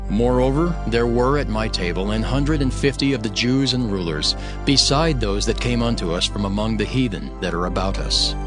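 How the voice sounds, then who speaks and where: A man playing an earnest, stern governor, narrating his own account in a solemn, measured dramatized scripture reading. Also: mid-range voice, close to an everyday voice. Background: background music.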